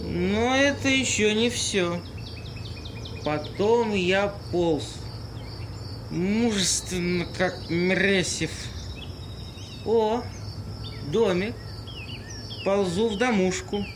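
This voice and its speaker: A man playing a pompous, cheerfully shameless drunken homeless man in an amateur audio play, rambling and halting in a mock-grand way. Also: high-pitched, background noise. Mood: boastful, rambling.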